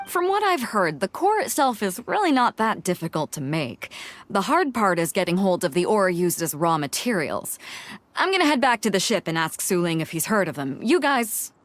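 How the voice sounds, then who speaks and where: An actress voicing a confident, upbeat young woman who runs a ship in a video game, brisk and friendly. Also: mid-range voice, sing-song, close to an everyday voice.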